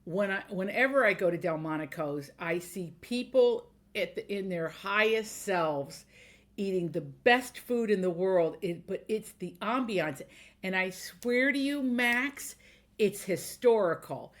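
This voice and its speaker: A woman's voice: mid-range voice, lively intonation, unhurried. A woman hosting an interview, effusive and enthusiastic toward her guest. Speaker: host. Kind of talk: interview conversation.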